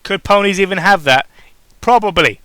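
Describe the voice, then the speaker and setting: A man's voice: high voice, moderate pace, fairly bright tone, no echo. A man giving a dramatic reading of fan fiction, breaking off for mocking, exasperated asides. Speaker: reader commenting on fan fiction.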